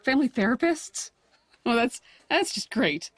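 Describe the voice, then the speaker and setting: Higher-voiced, husky middle-aged mother, sharp and defensive , played by an actress. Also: big pitch swings, moderate pace, dry recording. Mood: indignant. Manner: sharp, indignant. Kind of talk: audio drama acting.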